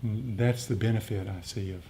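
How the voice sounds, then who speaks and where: Mellow, halting male meditation teacher. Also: fairly even intonation, vocal fry. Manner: halting, reflective, explanatory. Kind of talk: dharma talk and question-and-answer. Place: group meditation gathering. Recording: rumbly background noise.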